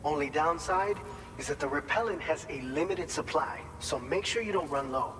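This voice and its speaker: A man acts out an upbeat male staffer in a game cutscene, giving briefings in a slightly high voice. He explains things informally and encouragingly, in a long, hall-like reverb with clear noise behind him. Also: normal speed, natural voice. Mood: enthusiastic pitch. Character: chatty, upbeat male distribution center staffer briefing a courier. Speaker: briefing officer. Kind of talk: video game cutscene dialogue.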